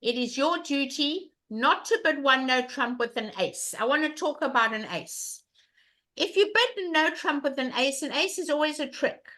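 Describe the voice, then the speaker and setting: An older woman with a loud voice teaching an online bridge class, emphatic and repeating questions to her students over a webcam. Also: sits high, expressive, normal speed.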